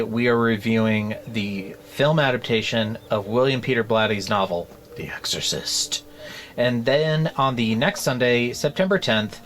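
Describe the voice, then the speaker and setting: Guy hosting a chatty horror podcast, goofy deadpan bits, middle pitch. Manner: joking, rambling deadpan bits. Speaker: podcast co-host. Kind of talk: casual podcast chat.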